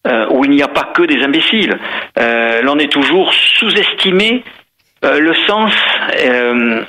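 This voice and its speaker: A man from Bordeaux, a campaigning guest on a radio interview, who talks in a halting way and repeats words while he searches for them. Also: medium pitch, animated, sharp tone, rough recording. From France, Bordeaux. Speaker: anti-bullfighting campaigner guest.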